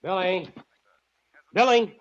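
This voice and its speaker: A man's voice: high-pitched, slow pace. An actor playing a hard, bullying gunman with an American accent in 1940s radio drama, barking curt orders. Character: hard, bullying male gunman holding captives. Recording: muffled, hissy old radio recording.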